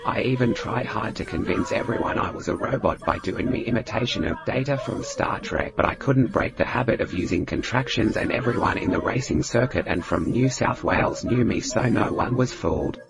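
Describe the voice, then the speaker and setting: A man voicing a chatty, earthy Australian woman with a raspy, smoky voice in scripted comedy dialogue. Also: fairly low pitch, animated, fairly quick, hardly any pauses. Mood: chatty, boastful.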